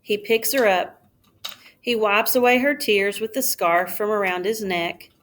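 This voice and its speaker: A woman reading a children's storybook aloud like a schoolteacher, in a careful, expressive storytelling manner. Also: mid-range voice, measured pace.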